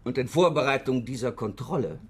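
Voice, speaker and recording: An actor playing a secretive government official with a husky voice and a formal, condescending manner, in a radio crime drama. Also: male voice, medium pitch, brisk, natural voice. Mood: stern, secretive.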